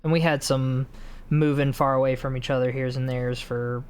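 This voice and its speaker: A man's voice: mid-range voice, steady tone, normal speed, slight background noise. A young man interviewing his longtime friend over a video call, laid-back and rambling. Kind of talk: casual interview chat. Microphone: video call mic.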